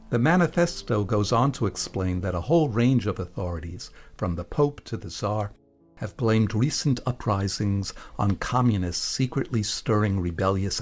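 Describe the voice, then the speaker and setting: A man presenting and reading scripted narration on radio in a measured, explanatory manner. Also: lowish voice.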